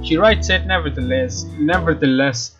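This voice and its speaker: Male let's play host, slightly high voice, casual read-aloud narration, slightly muffled mic, game music underneath. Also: normal speed, a bit bright. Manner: casual, stumbles and rereads phrases. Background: game music with guitar.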